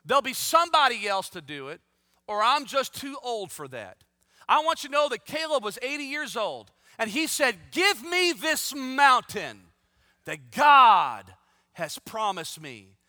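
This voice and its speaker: A man's voice: very high voice, very animated, unhurried, pushed and bright, no echo, clean studio sound, big-room sound. A man in his fifties preaching a sermon, reading scripture aloud and then exhorting his listeners with rising, emphatic force. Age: 50s. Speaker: pastor.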